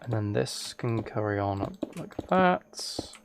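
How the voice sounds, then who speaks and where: A man's voice: lowish voice, lively intonation, slow. Man rambling casually over keyboard clicks and noise. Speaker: live streamer. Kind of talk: casual chat with game commentary. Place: indoors at a computer. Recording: clear background noise, keyboard and mouse clicks.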